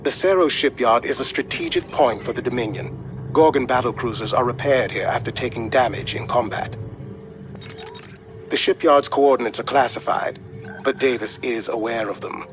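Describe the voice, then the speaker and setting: A man playing a calm, precise military intelligence adviser briefing an operative in a video game. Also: mid-range voice, moderate pace, music in the background, close to an everyday voice. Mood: measured briefing.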